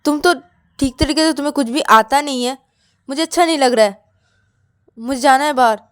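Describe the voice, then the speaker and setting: Woman acting a scolding, high-pitched, domineering husband. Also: a little fast, natural voice. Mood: cold, impatient. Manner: scolding, snapping rhetorical questions. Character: domineering, scornful, impatient husband, cruel and angry.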